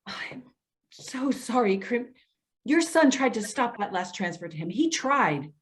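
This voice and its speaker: A woman's voice: fairly high pitch, big pitch swings, measured pace. A woman playing a patient, concerned social worker in a readers theater drama, speaking in an earnest, coaxing way. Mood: sympathetic, dismayed. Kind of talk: readers theater stage acting.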